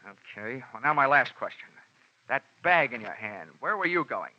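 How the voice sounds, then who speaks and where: A man's voice: mid-range voice, big pitch swings, normal speed. A man narrating and acting a hard-boiled insurance investigator in a 1950s radio drama, wisecracking and dry. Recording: dull lo-fi old radio recording with music.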